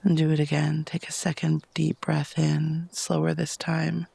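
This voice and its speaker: Soft man with heavily creaky, breathy voice. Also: a little high, completely flat delivery. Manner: soft, breathy, lapsing into near-whispers; unhurried, gentle, with long pauses. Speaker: guided meditation host. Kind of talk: guided meditation narration.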